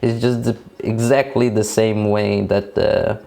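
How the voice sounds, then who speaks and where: A man from Denmark, raised speaking Danish and Polish, chats at a slow, unhurried pace. His voice sits a little low, and he talks hesitantly with many fillers and restarts while soft music plays behind him. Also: flat delivery, gravelly. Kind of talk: casual conversation.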